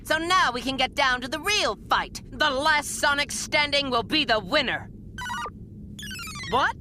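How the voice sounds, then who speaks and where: An actor playing a defiant cartoon hero in an animated film dub. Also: sits high, measured pace, slight background noise, full character voice. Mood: combative.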